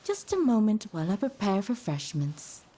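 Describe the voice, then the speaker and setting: A woman's voice: mid-range voice, big pitch swings, normal speed, dark tone, husky. A woman playing a polite, anxious and devoted geisha with a deferential manner in a video game cutscene. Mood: polite, troubled.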